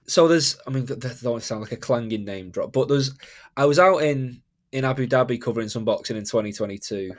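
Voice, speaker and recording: Male Mancunian host, casual chat, animated, laughing storyteller, mid-range voice. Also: mid-range voice. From Manchester, England. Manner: animated, laughs while telling stories. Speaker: podcast host.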